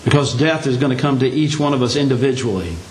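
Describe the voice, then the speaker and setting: An older man preaching a Sunday lesson, his voice hoarse and scratchy, speaking in a plain folksy way with a touch of humor. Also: mid-range voice, quick pace. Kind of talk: sermon. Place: church meeting room. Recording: echoey room.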